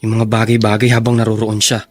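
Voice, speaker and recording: A man's voice: lowish voice, monotone, fast talker, natural voice. A man playing an earnest young adult son with a Filipino accent, respectful and soothing in an audio drama. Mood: calm reasoning. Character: earnest, dutiful grown son, calm and reassuring. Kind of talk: radio drama acting.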